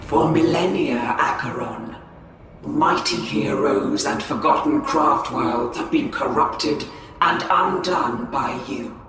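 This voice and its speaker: An actress playing an ancient, grandiose alien sage in a game cinematic, portentous and declamatory. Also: female voice, rumbling low, lively intonation, slow pace, heavily acted. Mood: ominous, brooding. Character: ancient, grandiose narrator addressing a cursed world. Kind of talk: dramatic game cinematic monologue. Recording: cavernous echo, distant, noisy.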